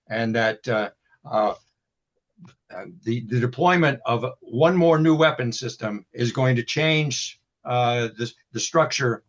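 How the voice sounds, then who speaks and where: A male historian talks through his points over a video call, pausing and restarting as he builds an argument. The audio is dull and lo-fi. His voice sits at an ordinary middle pitch. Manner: thoughtful, lecturing with many restarts. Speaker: historian and book co-author. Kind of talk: webinar discussion.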